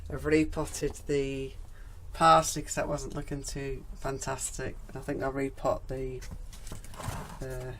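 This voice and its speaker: A woman vlogging casually from her garden greenhouse, her voice croaky after a cold, chatting in a rambling way with frequent ums. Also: low voice, slight background noise. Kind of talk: casual gardening vlog chat.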